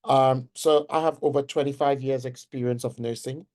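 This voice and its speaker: Trinidadian man, forties, warm-toned conversational lecturer. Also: mid-range voice, fairly even intonation, normal speed. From Trinidad and Tobago. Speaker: guest speaker, nursing professor. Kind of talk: webinar lecture.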